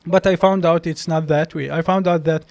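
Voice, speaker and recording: A man's voice: on the high side, fairly even intonation, brisk, vocal fry, darkish tone, no echo. A young man with a Palestinian accent whose first language is Arabic, a doctor telling stories as a podcast guest, hesitant with many restarts. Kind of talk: casual interview storytelling.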